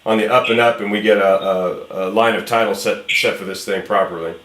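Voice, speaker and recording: A man's voice: fairly low pitch, fairly even intonation, a little fast, a bit bright. Informal man in an echoey room. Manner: encouraging, informal, frequent "man" and "cool". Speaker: film director casting an actor. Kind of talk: casual phone call and talk to viewers. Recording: roomy, echoey room sound.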